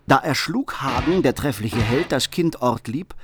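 Steady male narrator over music. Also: mid-range voice, a little slow. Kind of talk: scripted narration.

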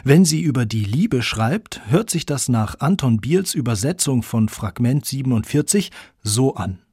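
A man's voice: mid-range voice, lively intonation, measured pace, dark tone, breathy edge, no echo, clean studio sound. A man reading scripted narration as the reporter of a radio feature.

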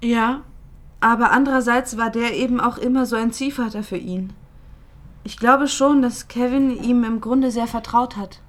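A woman's voice: on the high side, fairly even intonation, normal speed, close to an everyday voice. An actress playing a grieving young woman, loyal confidante to a dead friend, in a screen drama. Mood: reflective. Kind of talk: dramatic film dialogue.

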